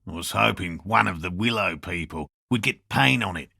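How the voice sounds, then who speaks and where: A man playing an earnest, slightly defensive cryptozoologist in a video game, with a raspy, gravelly voice and a measured, academic, matter-of-fact manner. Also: low voice, sharp tone, no echo, clean studio sound, full character voice. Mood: reflective, dry. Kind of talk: video game character dialogue.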